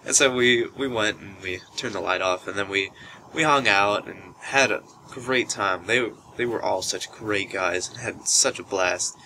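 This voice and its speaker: Young male storytelling vlogger, deeper bright voice, casual and animated, noisy camera mic. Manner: animated retelling, acts out quoted speech. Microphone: built-in camera microphone. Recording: noisy low-quality camera microphone.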